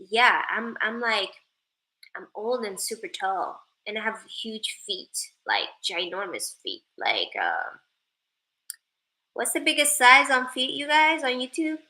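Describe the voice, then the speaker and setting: A woman's voice: a little high, pushed and bright, rough recording. A young woman from Sweden chatting casually with viewers on a livestream, joking playfully and reading out their comments. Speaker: live streamer.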